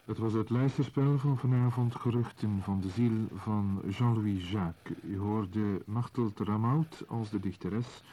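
A man's voice: on the low side, unhurried, few pauses, mellow, slight background noise. A man reading scripted credits as a radio announcer.

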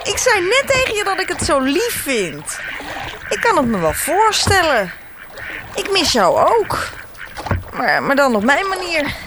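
An actress playing a cheerful sister who travels the world, breezy and teasing, in a Dutch radio play. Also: female voice, very high-pitched, wildly swooping pitch, unhurried, background noise, exaggerated voice. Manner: breezy and teasing, with frequent laughs. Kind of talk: radio drama acting.

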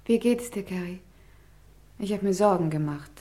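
Radio crime play: actress as loyal female confidante, mellow mid-range voice, composed and caring. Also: medium pitch, normal speed, faint music behind, close to an everyday voice. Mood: concerned. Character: concerned, matter-of-fact woman, loyal friend of suspect. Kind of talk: German radio crime drama acting.

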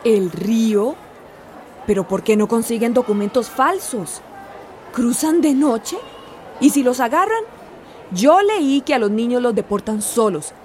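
Woman playing nervous mom in a radio drama, high soft-edged voice, hesitant, faint sound behind. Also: expressive, moderate pace, a faint crowd, a little hiss or hum, lightly acted. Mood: anxious. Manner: hesitant, worried questioning. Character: anxious, timid mother questioning a people smuggler.

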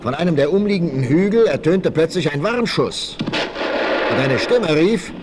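A man's voice: on the high side, animated, moderate pace. A man narrating a 1960s German radio play in a dramatic, scripted storytelling style. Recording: noisy old vinyl rip.

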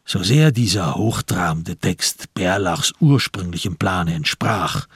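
A male narrator of a literary radio drama. His voice is on the low side and heavily husky. He delivers the prose with measured, dramatic weight in a dry, close studio sound. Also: expressive, no room sound.

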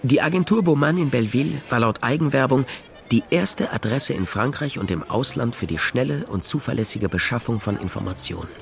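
Wry, gravelly private eye. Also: male voice, mid-range voice, expressive, a little fast, soft background music. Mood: wry, sardonic. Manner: dry, sardonic. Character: wry, laconic male private detective, hard-boiled narrator. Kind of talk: radio crime drama, first-person narration and dialogue.

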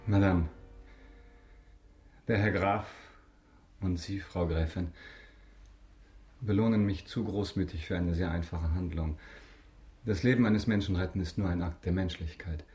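A man acting a mysterious, wealthy count in a German radio drama, speaking formally and with measured courtesy. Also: low-pitched, fairly even intonation, a little mellow, slightly rough, full character voice. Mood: courteous. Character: mysterious wealthy count, male, composed, courtly and self-assured.

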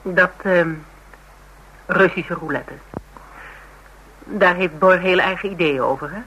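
An actress playing an inquisitive, brisk and caring friend in a radio drama, chatty and probing. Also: female voice, on the low side, fairly bright tone, slight background noise, low-quality recording. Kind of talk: Dutch radio drama acting, naturalistic dialogue.

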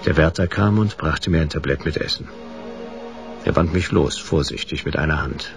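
An actor playing a world-weary hard-boiled detective, narrating a radio drama in a laconic, deadpan way. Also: male voice, a bass voice, moderate pace. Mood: dazed, laconic. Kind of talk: noir first-person radio drama narration. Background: music.

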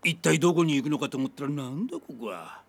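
A man playing a coarse, bullying middle-aged father in a radio drama, speaking in a rough, threatening, slangy way. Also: a little high, lively intonation, quick pace. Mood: menacing. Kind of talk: Japanese radio drama acting.

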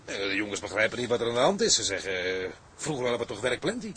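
An actor playing a blunt but respectful deckhand in a radio drama, colloquial and a little hesitant. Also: male voice, on the low side, big pitch swings, fast talker, a bit bright. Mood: uneasy, questioning. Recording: clear ambient noise behind.

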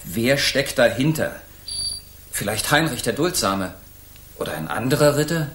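An actor playing a stern, anxious knight captain in a radio drama, speaking in formal, archaic courtly language. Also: male voice, mid-range voice, expressive, moderate pace, a bit bright, roomy sound, a little hiss or hum, close to an everyday voice. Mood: grave, suspicious. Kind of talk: German children's radio drama acting.

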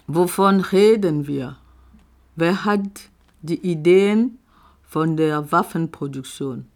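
A woman reading her own reflective poetry aloud in a deliberate spoken-word style, with long pauses between short phrases, on a recording she made herself. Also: on the low side, darkish tone. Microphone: self-recorded audio.

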